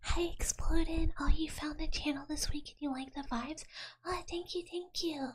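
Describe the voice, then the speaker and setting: A woman's voice: sits high, fairly even intonation, normal speed, slight background noise. A woman whispering breathily into a close microphone in a playful, rambling ASMR livestream chat. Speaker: ASMR streamer chatting with viewers.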